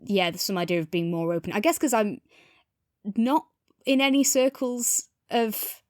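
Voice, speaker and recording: A woman's voice: a little high, animated, moderate pace, dry recording. Woman, dry close sound, thoughtful. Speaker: podcast co-host. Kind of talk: casual review discussion.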